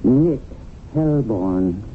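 Stern, officious celestial boss. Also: male voice, fairly low pitch, lively intonation, very slow. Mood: grave, skeptical. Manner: officious, reproving, skeptical. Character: stern, exacting male heavenly supervisor. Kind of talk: 1970s radio drama acting. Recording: tinny, faintly noisy vintage radio broadcast.

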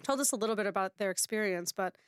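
An American woman hosts a casual podcast, friendly and full of questions. Her voice comes through smooth and clear in a dry, close recording. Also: mid-range voice, quick pace, dry recording. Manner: friendly, asks questions, laughs easily. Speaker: podcast host. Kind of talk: casual chat.

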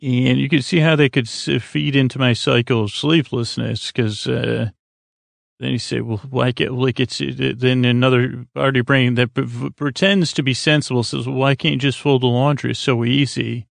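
A man hosting a bedtime podcast in a meandering, halting monologue, stammering and often trailing off mid-thought. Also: mid-range voice, fairly even intonation, gravelly, no echo, studio quality. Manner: acts out imagined back-and-forth dialogue. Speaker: podcast host.

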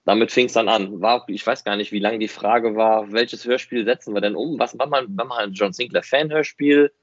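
A man with a fairly low voice talks casually as a podcast host. He is conversational and likes to check back with his partner. The sound is dry and close, with little room around it. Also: brisk, no echo, dull audio. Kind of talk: casual chat, reminiscing about a fan project.

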